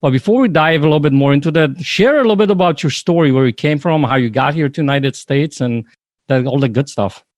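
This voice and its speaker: A man's voice: a little high, fast talker, a little mellow, no room sound, studio quality. A man hosting a podcast interview, upbeat and enthusiastic as he introduces his guest. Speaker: podcast host.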